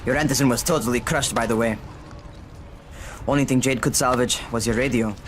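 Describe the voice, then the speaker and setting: An actor playing a brash, touchy young survivor in a video game cutscene, blunt, sarcastic and scolding. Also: male voice, medium pitch, fairly even intonation, fairly quick, slight background noise, natural voice. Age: young adult.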